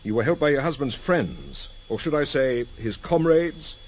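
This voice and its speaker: An actor in a vintage radio drama playing a cheeky, probing British intelligence agent, dry and teasing, with a British accent. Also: male voice, medium pitch, animated, normal speed, close to an everyday voice. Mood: probing, insinuating. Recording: slightly noisy vintage radio recording.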